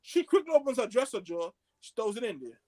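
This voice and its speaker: A man telling a story among friends on a podcast, excitable and slangy, acting out the dialogue as he goes. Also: very high voice, fast talker. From Haitian background. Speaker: podcast co-host.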